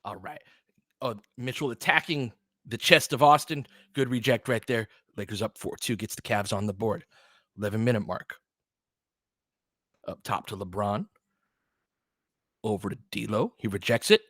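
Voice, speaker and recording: A man's voice: fairly low pitch, moderate pace, pauses often, a bit bright, dry recording, clean studio sound. A man hosting a basketball stream, giving casual play-by-play mixed with chat in a conversational, slangy way with clipped phrases.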